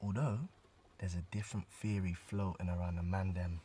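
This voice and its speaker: A man's voice: low-pitched, flat delivery, normal speed, mellow, slight background noise, close to an everyday voice. Man playing a cocky streetwise London-area teen informant. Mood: gossipy, smirking. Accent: London-area urban British. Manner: slangy, offhand, evasive. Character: cocky streetwise teenage male informant and small-time drug dealer. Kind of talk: radio drama acting.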